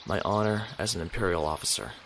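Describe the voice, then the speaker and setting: A man's voice: low-pitched, fast talker, fairly bright tone, slight background noise, rough recording. A man playing a conflicted, honorable young naval officer in a sci-fi audio drama, earnest and brooding.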